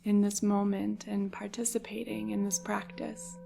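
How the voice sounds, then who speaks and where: Soft, even-toned woman guiding meditation over ambience. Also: medium pitch, background noise. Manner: soft, breathy phrase endings, soothing unhurried delivery. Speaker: yoga nidra meditation guide. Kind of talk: guided meditation narration.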